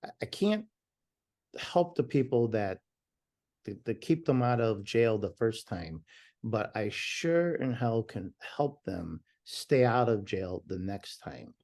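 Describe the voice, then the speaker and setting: A man with a loud voice, talking passionately as a guest on a remote call. His voice is low and warm, never bright. He stresses his points and sometimes starts his sentences over. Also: sing-song, slow pace. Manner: passionate, emphatic, some restarts. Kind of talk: conversational interview.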